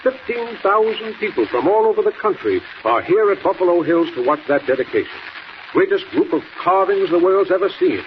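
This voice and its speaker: Governor: blustering, self-important, impatient, fairly high voice, hissy old radio recording. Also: male voice, animated, fairly quick. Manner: emphatic, impatient, pompous. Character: stubborn, blustering male state governor. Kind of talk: 1940s radio serial acting. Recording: muffled, noisy 1940s radio recording.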